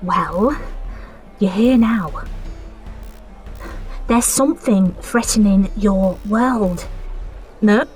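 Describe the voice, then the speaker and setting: A woman's voice: medium pitch, very slow, pauses often, darkish tone, faint music behind. A woman playing a wary, blunt, defiant rebel in a science fiction audio drama. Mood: challenging, resolute.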